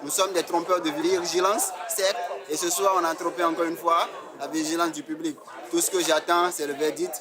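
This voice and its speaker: Man, slightly high voice, very even delivery, confident contestant interview, audible crowd. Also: normal speed. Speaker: magician contestant. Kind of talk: reflective interview to camera. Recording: audience noise behind voice.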